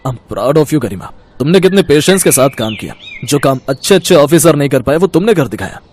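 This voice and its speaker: A man's voice: on the high side, very animated, a little fast, a little mellow, a little hiss or hum. A man voicing a proud, jovial senior police officer in a cartoon story. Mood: proud, teasing. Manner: praising, jovial. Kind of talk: Hindi cartoon moral story.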